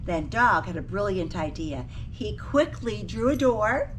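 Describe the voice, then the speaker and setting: A woman reading a picture book aloud to children, expressively, pausing to ask her young listeners questions. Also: medium pitch, lively intonation. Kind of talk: animated storybook read-aloud for children. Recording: distant mic with faint background noise.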